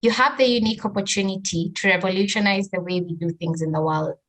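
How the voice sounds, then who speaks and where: A woman of average pitch delivers a prepared motivational message. She speaks as a trainer addressing her trainees. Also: quick pace, fairly bright tone. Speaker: brand strategy trainer.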